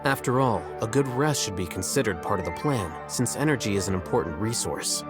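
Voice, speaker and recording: A man playing a calm, self-assured, scholarly strategist in an anime video game, matter-of-fact and slightly smug. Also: fairly low pitch, brisk, close to an everyday voice. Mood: composed. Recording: loud music behind the voice.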